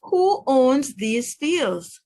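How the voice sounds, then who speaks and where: A woman's voice: high voice, big pitch swings, extremely slow, warm tone, pitched above the performer's own voice, heavily acted. A woman reading the lines of a proud, kindly old king in a plain storybook manner.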